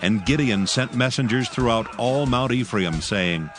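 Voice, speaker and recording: A man narrating scripture in a dramatic, solemn and measured scripted style. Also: mid-range voice, over music.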